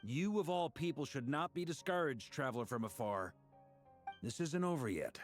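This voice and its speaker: Man playing a lively, rallying knight commander. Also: medium pitch, moderate pace, soft background music, natural voice. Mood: rallying, resolute. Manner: informal, rousing pep-talk delivery. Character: confident, rallying male knight commander, easygoing and gutsy. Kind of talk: video game voice acting.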